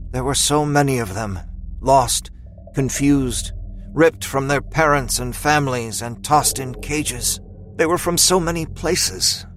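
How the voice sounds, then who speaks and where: A man's voice: mid-range voice, a little hiss or hum. A man narrating a scripted audio drama in a dramatic storytelling style.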